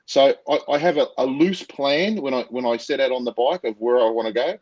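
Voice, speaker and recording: Loud Australian man, projecting voice. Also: mid-range voice, fairly quick, highs cut off. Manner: enthusiastic, spontaneous. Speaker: guest, motovlogger. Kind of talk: casual interview chat.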